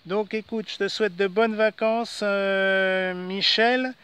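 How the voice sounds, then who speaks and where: Male ham operator, high-pitched and smooth, chatty and casual, adding frequent tag words. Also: steady tone, slow pace, a bit bright. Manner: chatty, frequent "hein" tags. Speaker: amateur radio operator. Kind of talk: casual ham radio conversation. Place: outdoors.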